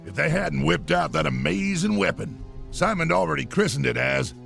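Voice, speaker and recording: A gravelly old army colonel. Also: male voice, fairly high pitch, lively intonation, normal speed, fairly bright tone, soft background music, full character voice. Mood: gruff. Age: older. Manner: gruff, boastful and commanding. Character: blustering old army colonel, cigar-loving and bossy.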